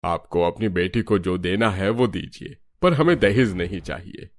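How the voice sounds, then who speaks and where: A man voicing a calm, principled older father-in-law in a cartoon, measured and firm. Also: deep voice.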